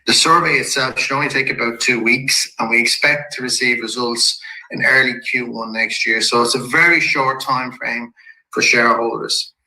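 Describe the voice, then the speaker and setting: A man with a slightly croaky voice from a head cold, a company executive calmly walking shareholders through an investor presentation slide by slide. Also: mid-range voice. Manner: measured and businesslike, with occasional restarts. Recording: echoey room.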